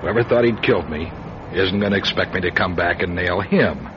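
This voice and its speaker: A man's voice: sits low, brisk, lightly acted. An American actor, a man, playing a weary, tough, hard-luck 1940s private investigator with wry noir delivery in a radio drama. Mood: grim, resolute. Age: middle-aged. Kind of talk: radio drama acting with first-person noir narration. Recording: muffled, noisy radio drama recording.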